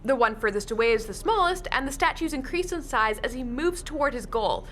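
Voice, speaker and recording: An actress playing an earnest young rookie tour guide in a radio play, keen and informal. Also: female voice, on the high side, lively intonation, fairly quick, fairly bright tone, slight background noise. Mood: earnest informing. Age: young adult.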